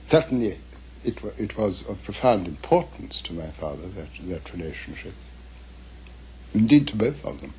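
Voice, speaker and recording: An older man reflecting in an interview, deliberate and formal in how he chooses his words. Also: fairly low pitch, a little mellow, husky, poor audio. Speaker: author's son, interviewee. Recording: faint background noise.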